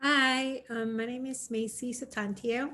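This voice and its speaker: A woman's voice: a little high, steady tone, a little slow, pushed and bright. A woman from Indonesia speaks unhurriedly on a video call from her dining room. She hesitates often and corrects herself as she introduces herself. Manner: hesitant, many fillers and self-corrections. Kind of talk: round table introduction.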